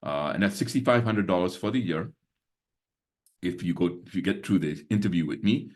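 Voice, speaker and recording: A man's voice: deep voice, animated, normal speed, darkish tone. A man in his sixties who grew up in Singapore, hosting a webinar on a video call in a chatty way, full of fillers and small chuckles. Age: 60s. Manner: chatty, many fillers, chuckles between points. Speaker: webinar host and business mentor. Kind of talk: casual live webinar talk.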